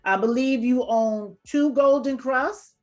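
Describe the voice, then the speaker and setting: A woman's voice: sits high, slow, a little mellow, faint music behind. A woman hosting a show on female entrepreneurship, speaking in an upbeat, emphatic, motivational way. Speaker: podcast host. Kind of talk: hosted interview show.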